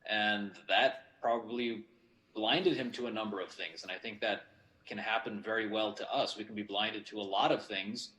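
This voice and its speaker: A male guest pastor in his thirties whose first language is Romanian speaks in a measured, thoughtful way with a lowish voice. He is talking over a video call from a bedroom at home. The audio sounds roomy and echoey. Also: fairly even intonation, sharp tone. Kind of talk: conversational Bible discussion.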